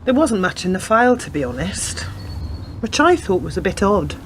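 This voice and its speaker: A woman plays a young policewoman in a radio drama, persistent and inquisitive. Her delivery is clipped and matter-of-fact at a medium pitch, with slight background noise. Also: sing-song, normal speed, lightly acted. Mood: questioning. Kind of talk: radio play acting.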